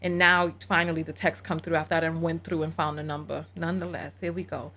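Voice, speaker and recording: A woman hosting a live call-in show, lively and chatty, teasing her callers. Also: low-pitched, fast, slight background noise.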